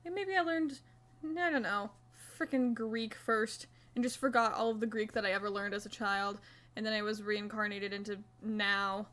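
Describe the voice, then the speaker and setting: A woman's voice: mid-range voice, steady tone, moderate pace, fairly bright tone. A young woman streaming live, chatting casually and self-mockingly while she crochets, swearing now and then.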